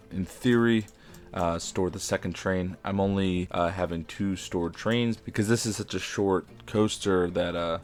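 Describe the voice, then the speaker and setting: Low raspy man with a sore throat. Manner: chatty, enthusiastic, frequent fillers. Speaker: game video creator. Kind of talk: casual commentary while building.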